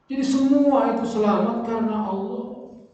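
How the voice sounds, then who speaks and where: High-voiced preacher in a far-off echoing hall. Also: male voice, normal speed. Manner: lively, swings between loud stresses and quiet asides, acts out everyday quotes. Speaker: Islamic preacher. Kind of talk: sermon-style religious lesson. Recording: echoey hall from far off, dull lo-fi.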